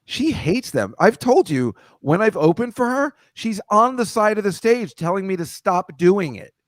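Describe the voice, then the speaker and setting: A man's voice: high voice, moderate pace, no room sound. A man on a comedy podcast, bantering animatedly and slipping into impressions. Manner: animated, jokey, slips into impressions. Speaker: comedian, show regular. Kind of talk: casual banter.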